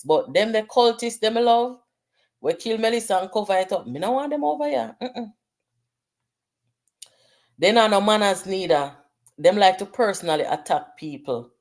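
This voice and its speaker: Low-voiced jamaican woman, middle-aged, chatting on her livestream, patois mixed in, reading out comments. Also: darkish tone. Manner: chatty, mixes patois and English, reads comments aloud. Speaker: livestream host. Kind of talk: casual livestream chat with viewers.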